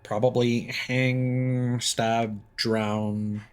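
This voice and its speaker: A man's voice: medium pitch, flat delivery, drawn-out words, clean tone, faint music behind. A man streaming a game with casual commentary, thinking aloud as he plays.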